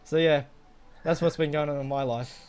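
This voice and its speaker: A man's voice: on the high side, fairly even intonation, normal speed. A young man casually telling a rambling, profanity-laden personal story to friends. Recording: music behind the voice. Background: background music.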